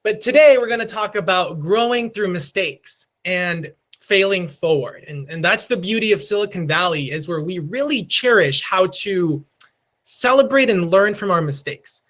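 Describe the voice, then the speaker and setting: A man's voice: high voice, lively intonation, moderate pace, a bit bright. A man in his twenties from Peru with a Peruvian Spanish accent, giving an earnest motivational talk and slipping Spanish phrases into his storytelling. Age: 20s. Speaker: speaker giving a lightning talk.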